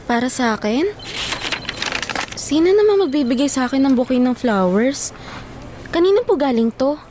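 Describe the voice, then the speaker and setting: Young office worker, very high voice, Filipino accent, flustered, exasperated, emotional audio drama delivery. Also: female voice, animated, normal speed, background noise. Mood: puzzled. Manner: pleading, emotional, indignant.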